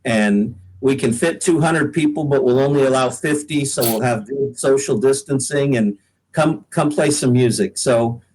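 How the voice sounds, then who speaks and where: A man's voice: mid-range voice, normal speed, darkish tone, slightly rough, rough recording. Easygoing middle-aged American man, casual interview guest. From Oregon, United States. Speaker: guest singer-songwriter. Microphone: laptop on a video call.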